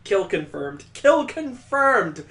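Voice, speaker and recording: A streamer reads a visual novel aloud in a fairly high voice at an unhurried pace. He cuts in with crude, joking asides and his own mock sound effects. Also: very animated, fairly bright tone. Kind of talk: reading a visual novel aloud with jokey commentary.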